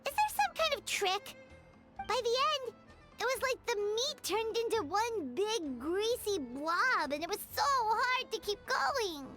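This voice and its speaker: Tiny chirpy fairy sidekick, swooping high voice, over loud game score. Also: female voice, expressive, measured pace, music in the background. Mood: curious. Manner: third-person self-talk, whiny and theatrical. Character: tiny chirpy fairy companion, dramatic and greedy. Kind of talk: game cutscene voice acting.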